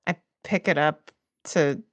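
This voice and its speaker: A woman's voice: sits low, takes it slowly, dry recording. A woman chatting casually as a player in a tabletop role-playing game, thinking aloud about what her character does. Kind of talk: casual improvised game chat.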